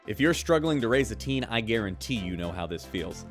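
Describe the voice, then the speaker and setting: A man's voice: on the low side, expressive, brisk, faint music behind, close to an everyday voice. A man voicing a chatty, wry radio news host aboard a starship, reading scripted reports.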